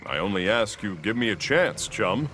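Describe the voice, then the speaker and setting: An actor playing a friendly, courteous fighter in a video game. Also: male voice, lowish voice, big pitch swings, normal speed. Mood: amiable. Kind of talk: video game character acting. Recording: loud game noise behind.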